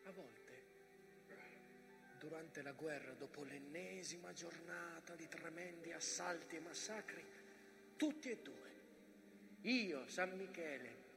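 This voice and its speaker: An actor playing San Michele, an intense mountain bearing witness to war in an emphatic, declamatory theatrical monologue. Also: male voice, a little high, slow, pauses often, long reverb tail, music in the background. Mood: reflective. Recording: clearly noisy recording, ambient sound.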